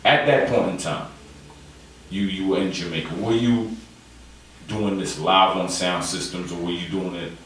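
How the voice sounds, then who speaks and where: A man hosting a radio show and interviewing a guest, talking haltingly and repeating his words as he frames questions. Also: sits low, steady tone, fairly bright tone. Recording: echoey, distant, faint noise.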